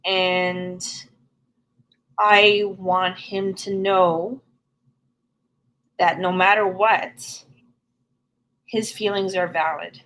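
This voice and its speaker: Woman guest, middle-aged, frank and earnest, unhurried delivery. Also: medium pitch, fairly even intonation, fairly bright tone, rough recording. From Canada. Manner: earnest, frank and plain-spoken. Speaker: podcast guest. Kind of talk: candid conversational interview.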